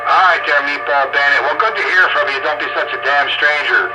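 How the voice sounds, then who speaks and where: Folksy guy ribbing his buddies on cb radio, highish voice, noisy signal. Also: fairly quick. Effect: two-way radio transmission. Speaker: radio operator fielding breakers. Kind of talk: casual CB radio chat with teasing.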